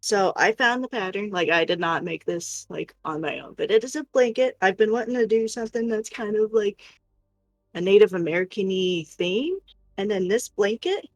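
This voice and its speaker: A woman giving a casual show-and-tell of her crafts on a livestream, explaining in a halting, self-interrupting way. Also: mid-range voice, dry recording, rough recording. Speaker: crafter showing crochet projects on a livestream.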